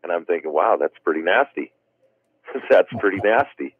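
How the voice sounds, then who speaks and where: Deep-voiced man on a tinny phone line. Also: lively intonation, normal speed, slightly rough, no room sound. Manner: rambling, many "you know" fillers. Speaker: guest wiffle ball player. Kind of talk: casual interview chat.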